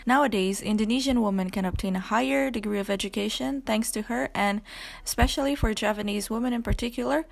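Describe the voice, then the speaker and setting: A woman's voice: a little high, fast, vocal fry. A woman reading a scripted explainer narration.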